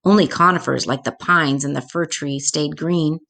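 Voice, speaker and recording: Woman storyteller with a lowish voice. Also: moderate pace, gravelly, a bit bright. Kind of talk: children's storybook read-aloud.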